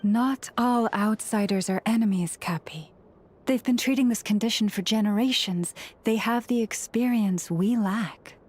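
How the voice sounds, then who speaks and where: A woman playing a serene, devout young priestess and healer in a video game, speaking in a gentle, measured, formal way with quiet authority. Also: a little high, a little hiss or hum, natural voice. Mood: patient, firm.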